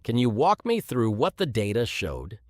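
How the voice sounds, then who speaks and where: A man's voice: mid-range voice, wildly swooping pitch, normal speed, darkish tone, no echo, clean studio sound. A man playing an exacting academic supervisor in a scripted listening exercise, speaking precisely and with authority. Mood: measured, approving. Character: male professor supervising students, exacting and measured. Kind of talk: scripted exam listening dialogue.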